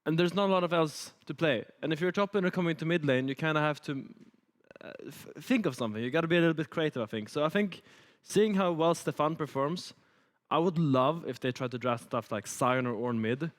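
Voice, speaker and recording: A man's voice: fairly high pitch, a little fast, big-room sound. A man working as an esports analyst, talking loosely and rambling, with lots of filler words. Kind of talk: analysis desk chat.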